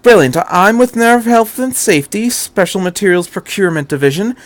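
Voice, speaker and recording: A man voicing an urgent, fast-talking doctor who bluffs with authority, persuasive and emphatic, in a fan fiction audiobook. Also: high-pitched, expressive, no room sound, voice pushed up. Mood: brisk, bluffing.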